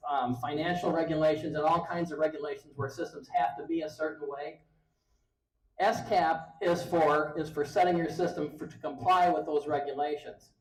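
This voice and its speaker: Loud middle-aged man giving a tech talk. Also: on the high side, rough recording. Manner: loud, talks close to the mic, many restarts. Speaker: presenter at a user group meeting. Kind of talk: casual technical presentation. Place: meeting room. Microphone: handheld mic held close.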